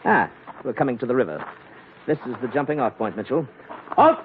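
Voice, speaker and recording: An actor playing a brisk, courteous British colonial police lieutenant in an old radio adventure. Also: male voice, mid-range voice, big pitch swings, slight background noise, close to an everyday voice. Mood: hearty, commanding. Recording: muffled old radio recording.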